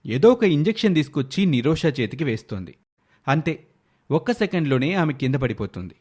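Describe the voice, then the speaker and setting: A man's voice: fairly high pitch, sing-song, a little fast, darkish tone, breathy edge. A man reading scripted narration for a moral story as its narrator.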